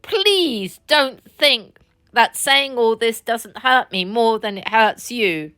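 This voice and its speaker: A woman's voice: a little high, lively intonation, takes it slowly, pushed and bright, exaggerated voice. A woman doing a firm, earnest storybook Rat. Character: the Rat, kind but firm male water rat.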